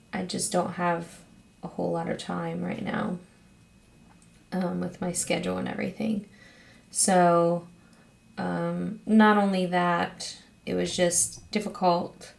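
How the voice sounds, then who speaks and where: A woman with a hoarse, raspy voice, chatting casually as host of her own get-ready-with-me vlog at home, rambling with frequent ums. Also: lowish voice, level tone, slow, pauses often. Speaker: beauty vlogger host.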